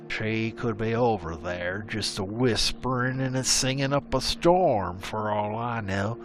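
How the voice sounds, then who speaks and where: A man's voice: on the low side, measured pace, soft background music. A man narrating a frontier legend as a scripted story, with rustic, old-timey storyteller phrasing.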